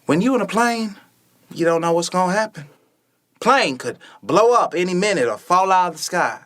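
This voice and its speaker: A man's voice: high voice, expressive, normal speed, a bit bright. A man playing a wary, bookish twelve-year-old younger brother in a radio play, plainspoken and hesitant. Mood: wary, doubtful.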